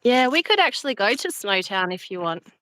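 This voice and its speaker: A woman's voice: mid-range voice, big pitch swings, fairly quick, pushed and bright, a little hiss or hum. A woman from South Australia with a South Australian accent, chatting and laughing on a livestream while she walks outdoors through a cemetery. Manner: chatty and giggly, reads out viewer comments. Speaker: livestream host. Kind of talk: casual livestream chat walking a cemetery.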